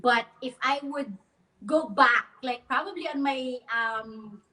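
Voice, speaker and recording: High, bright-voiced woman from the Philippines. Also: slow, rough recording. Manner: cheerful, laughs often, many fillers. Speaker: guest. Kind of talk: casual online interview.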